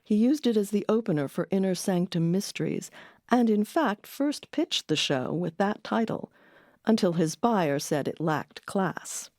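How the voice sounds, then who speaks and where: A woman hosting an audio documentary, reading scripted narration in a measured, explanatory way. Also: medium pitch, expressive, darkish tone, dry recording, clean studio sound. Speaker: documentary host. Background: music under the voice.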